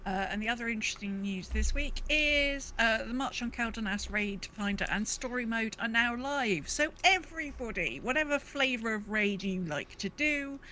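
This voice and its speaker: A woman's voice: a little high, animated, moderate pace, few pauses, bright, forceful tone, a little hiss or hum, poor audio. A British woman chatting casually as a podcast co-host. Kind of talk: casual chat.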